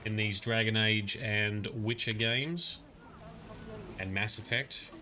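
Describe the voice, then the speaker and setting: A man's voice: lowish voice, fairly even intonation, slow, sharp tone, slight background noise. A man giving casual, rambling commentary while livestreaming a game, muttering asides and grumbling at the characters. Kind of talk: casual live gameplay commentary.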